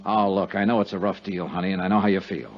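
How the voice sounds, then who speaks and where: An American actor playing a tough insurance investigator in a 1950s radio drama, speaking in clipped, dramatic, hard-boiled phrases. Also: male voice, low voice, fast, a little hiss or hum, natural voice. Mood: serious, pressing. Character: tough, quick-witted male insurance investigator narrating his case. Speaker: lead actor and narrator. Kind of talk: 1950s radio detective drama acting, with first-person narration. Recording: dull vintage 1950s radio recording.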